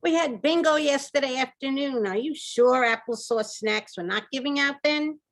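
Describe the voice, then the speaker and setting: A woman's voice: high voice, moderate pace, fairly bright tone. A woman playing a placating, skeptical senior-center director in an amateur radio play. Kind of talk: amateur old-time radio theater acting.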